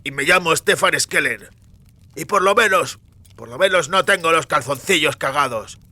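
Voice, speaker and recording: A man's voice: a little high, animated, normal speed, pushed and bright, dry recording, pitched above the performer's own voice, full character voice. A man voicing an insolent, coarsely mocking fellow with a head cold in an audiobook, congested and defiant. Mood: sneering defiance. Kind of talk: audiobook character acting.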